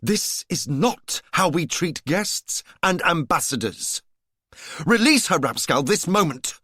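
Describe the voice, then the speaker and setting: A woman's voice: lowish voice, big pitch swings, slow pace, fairly bright tone, slightly rough, dry recording, clean studio sound, pitched above the performer's own voice, full character voice. A man voicing a proud queen who gives ringing commands. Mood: stern command.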